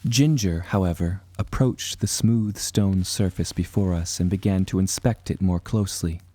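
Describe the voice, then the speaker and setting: A low, mellow seafaring storyteller. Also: male voice, no echo. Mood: solemn. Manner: measured, formal storytelling. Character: earnest seafaring adventurer man recounting his voyage. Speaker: narrator and protagonist. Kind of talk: scripted first-person adventure narration.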